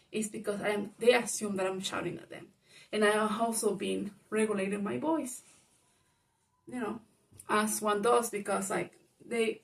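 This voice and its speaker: Woman with a spanish-speaking accent rambling about her life, gravelly loud voice, kinda far from the mic. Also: mid-range voice. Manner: rambling, lots of fillers, grows loud when reenacting arguments. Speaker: vlogger. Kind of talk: personal storytelling monologue.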